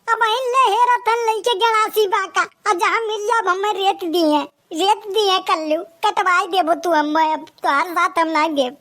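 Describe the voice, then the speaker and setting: A woman's voice: very high voice, fairly even intonation, normal speed, hardly any pauses, fairly bright tone. A woman with an Awadhi accent playing a wary, complaining young male village sidekick in a comic cartoon. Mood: grumbling. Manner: doubtful, complaining.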